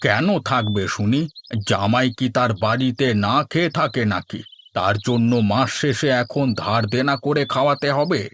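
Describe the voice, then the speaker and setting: A man's voice: medium pitch, normal speed, fairly bright tone, slight background noise, lightly acted. A man playing a put-upon older village husband in a cartoon, tight with money and complaining in exclamations. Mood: grumbling. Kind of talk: cartoon dialogue acting.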